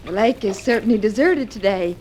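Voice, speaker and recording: A woman's voice: a little high, darkish tone. An actress playing an anxious, lovesick young woman with hesitant, melodramatic old-time radio delivery. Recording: noisy vintage radio drama recording.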